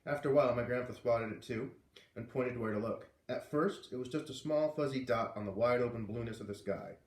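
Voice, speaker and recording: Man reading a children's book aloud. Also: a little fast. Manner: lively, voices characters with big pitch jumps. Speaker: reader reading aloud to kids. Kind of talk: children's book read-aloud with character voices. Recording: roomy, distant from the mic.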